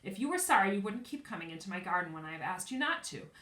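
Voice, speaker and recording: A woman reading a storybook aloud as a strict, unfriendly neighbour lady, high-voiced, clipped and condescending. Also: sing-song, fast, a bit bright. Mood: stern, condescending. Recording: roomy echo, distant from mic.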